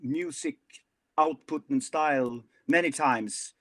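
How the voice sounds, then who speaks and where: A man, a singer answering interview questions in a lively, joking way with many restarts. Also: on the high side, takes it slowly, no echo. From Sweden. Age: 50s. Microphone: video call.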